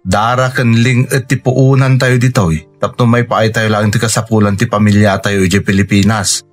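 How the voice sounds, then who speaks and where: An actor playing a steady, supportive young Filipino man with an Ilocano accent, reassuring in a radio drama. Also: on the low side, moderate pace, soft background music, close to an everyday voice. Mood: concerned, sympathetic.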